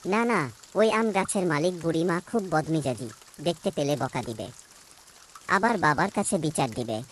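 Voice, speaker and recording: Woman voicing young farm boy, cartoon, daring, low-pitched for a child, swooping intonation. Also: animated, moderate pace, a little hiss or hum. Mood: urgent. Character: village schoolboy, bold, hardworking son of a poor farmer.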